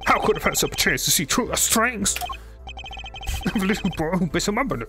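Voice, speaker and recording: A streamer putting on a smug German rock-star prosecutor. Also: male voice, sits high, animated, moderate pace, over music. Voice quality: German accent put on.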